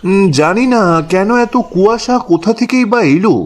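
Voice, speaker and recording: A man's voice: high voice, moderate pace. A man narrating a horror story dramatically in the first person as a boatman.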